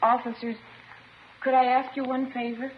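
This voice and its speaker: A woman's voice: sits high, flat delivery, normal speed, lightly acted. A woman playing a disturbed teenage girl in a 1950s radio drama, plaintive and childlike, matter-of-fact and wistful. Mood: pleading, childlike. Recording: dull, noisy old radio recording.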